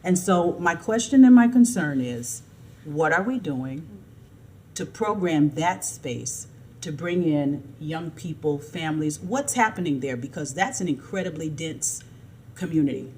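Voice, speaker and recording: A woman commissioner speaking at a city meeting, asking earnest, measured questions. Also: sits low, lively intonation, a little mellow, slight background noise. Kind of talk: public meeting remarks. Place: city council chamber.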